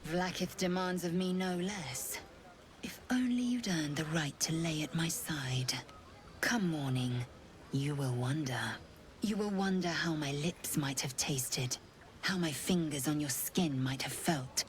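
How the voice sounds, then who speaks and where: Game role: imperious alien warrior woman, low pitch, formal, fierce and seductive, faint background noise. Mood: haughty seduction. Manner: haughty, deliberate, formal diction. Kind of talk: video game character acting.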